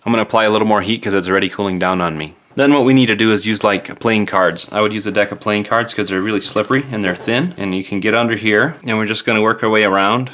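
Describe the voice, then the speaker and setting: A man's voice: fairly low pitch, steady tone, fast talker, hardly any pauses, fairly bright tone. A man giving casual, plain-spoken step-by-step repair instructions as a tutorial host.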